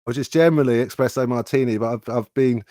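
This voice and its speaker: A man's voice: mid-range voice, fast, mellow, dry recording, studio quality. A man chatting casually as a guest musician, easygoing and jokey. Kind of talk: casual interview chat.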